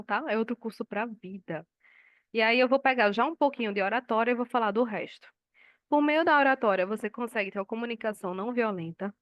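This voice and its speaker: A woman's voice: on the high side, no room sound. A woman teaching a class in a conversational way, asking her students questions and acting out quoted dialogue. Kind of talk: live online class lecture.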